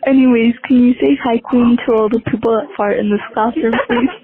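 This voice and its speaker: A woman's voice: a little high, steady tone, a little fast, narrow, tinny audio, a crowd in the background. A young woman, a student, leaving a casual, playful voicemail over the phone.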